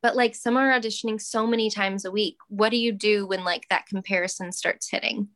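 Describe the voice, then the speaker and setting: A woman's voice: mid-range voice, steady tone, brisk, fairly bright tone, dry recording. A woman hosting a podcast in casual chat, enthusiastic and full of fillers like "like" and "um". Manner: enthusiastic, uses many fillers like "like" and "um". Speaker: podcast host, actor.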